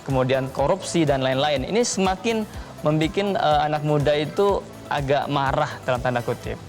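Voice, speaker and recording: A young man speaking as a guest on a studio panel, laying out his points one by one in a measured way. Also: on the high side, over music. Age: young adult. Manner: measured, lays out points in order. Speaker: talk show guest.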